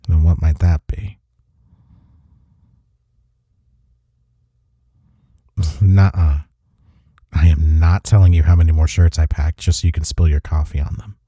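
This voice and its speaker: A man playing a teasing, tender office rival in an intimate romantic audio roleplay, speaking haltingly with breathy sighs and murmurs. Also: a bass voice, steady tone, warm tone, no echo, studio quality. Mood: flirtatious, teasing.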